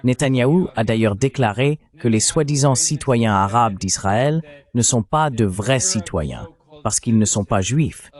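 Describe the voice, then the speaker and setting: A man's voice: medium pitch, animated, a little slow, darkish tone. A man delivering political commentary as an analyst, in an emphatic, argumentative way.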